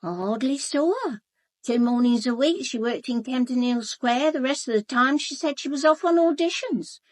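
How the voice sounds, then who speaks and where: An actress playing a gossipy, blunt English housekeeper in a British radio drama, chatty, colloquial and confiding. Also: female voice, sits high, normal speed, dry recording. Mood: knowing, gossipy. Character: gossipy, blunt, sharp-eyed housekeeper, a working-class woman. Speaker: actor in a radio murder mystery.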